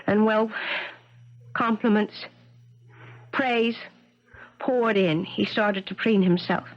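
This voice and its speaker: An actress playing an earnest, self-sacrificing woman professor in a 1970s radio drama, speaking haltingly with dramatic phrasing. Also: mid-range voice, lightly acted. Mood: hesitant, confessing. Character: earnest, self-sacrificing female professor, anguished and secretly lovelorn. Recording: dull vintage radio broadcast sound.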